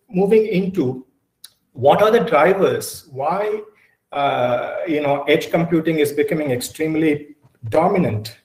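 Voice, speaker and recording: A middle-aged man giving an explanatory online conference presentation, pausing often with hesitations. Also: mid-range voice, a little mellow. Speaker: keynote speaker, technology executive. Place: indoor room, on a video call. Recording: roomy, echoey video-call audio.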